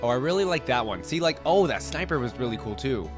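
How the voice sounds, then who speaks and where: Guy w a stuffed up cold voice, mid pitch, rambly reaction host, music playing behind him. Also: very animated, fast. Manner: chatty, rambling, thinking aloud. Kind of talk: casual reaction commentary. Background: game theme music.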